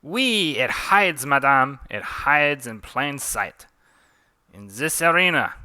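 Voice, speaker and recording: Webcomic-style French vampire duelist, played by a man, grandiose and taunting, bright forceful delivery, dry close sound. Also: mid-range voice, animated, takes it slowly, smooth, no echo, voice pushed up, full character voice. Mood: defiant. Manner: grandiose, mockingly courteous.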